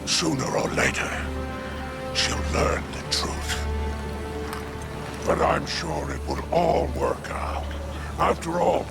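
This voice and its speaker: A man playing a sly, scheming alien crime boss in a video game, coy and drawling, with a gravelly, creaky voice. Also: mid-range voice, huge pitch swings, slow, long pauses, heavily acted. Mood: taunting, menacing. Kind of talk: video game character acting. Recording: music behind the voice.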